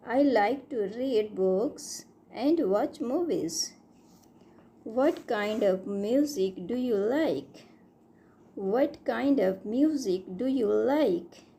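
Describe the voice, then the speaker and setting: Woman, very slow, mellow tone, higher voice, every line said twice, learner drill. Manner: reads each line twice, slowly and clearly. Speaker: English teacher.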